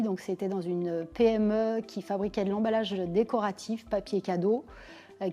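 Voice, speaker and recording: A woman in her forties from Lyon, thoughtfully telling her career story in a measured way with frequent pauses. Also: mid-range voice, warm tone. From Lyon, France. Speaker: business owner interviewee. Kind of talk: personal career account to camera.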